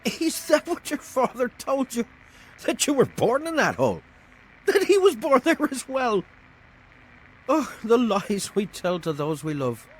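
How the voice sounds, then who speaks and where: A scheming saloon keeper in a video game. His pitch leaps widely and sits high. He is theatrical and condescending, a boastful smooth talker, with faint noise behind him. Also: very animated, unhurried, heavily acted, rough recording. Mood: smug, knowing. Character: sly, boastful saloon owner, grandiose villain. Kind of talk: video game character dialogue.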